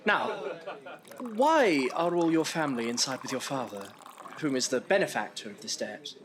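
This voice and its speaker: An actor playing a polite, hesitant Victorian gentleman who probes softly in an audio drama. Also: mid-range voice, big pitch swings, normal speed, background noise, close to an everyday voice. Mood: gently probing. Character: Arthur Clennam, courteous, hesitant Victorian gentleman.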